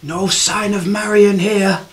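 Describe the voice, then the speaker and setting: A man's voice: high-pitched, fairly even intonation, slow. A man playing a fretful, bumbling outlaw in an amateur radio play. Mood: worried. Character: bumbling outlaw of Robin's band, adult man.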